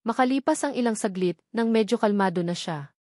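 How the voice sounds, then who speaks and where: A woman's voice: fairly high pitch, fairly quick, darkish tone, no echo, studio quality. A woman with a Filipino accent reading scripted narration from a romance novel. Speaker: audiobook narrator.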